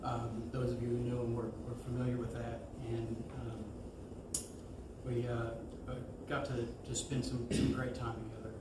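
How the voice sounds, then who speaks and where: A man giving an informal eulogy at a memorial, speaking hesitantly with frequent pauses. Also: mid-range voice, monotone. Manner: hesitant, pausing often. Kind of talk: informal memorial tribute, halting. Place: large room at a memorial service. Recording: echoey, noisy room, far from microphone.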